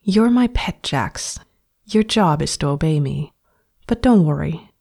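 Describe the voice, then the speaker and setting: A woman's voice: sits low, expressive, unhurried, gravelly, darkish tone, full character voice. A woman voicing a cheerful, bossy young woman who talks sweetly and patronizingly to her new pet. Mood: bright, condescending.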